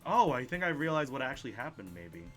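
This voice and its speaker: Fast-talking man with a bright voice. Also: mid-range voice, sing-song, faint music behind. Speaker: let's play host. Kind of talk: video game playthrough, reading dialogue aloud with side comments.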